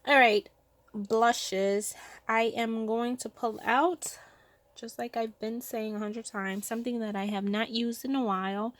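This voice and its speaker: A woman's voice: a little high, steady tone, measured pace, no echo. A woman with a stuffy cold nose, chatting casually and thinking aloud as she sorts her makeup at home. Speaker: beauty vlogger. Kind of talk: casual makeup vlog chat. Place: home room with vanities.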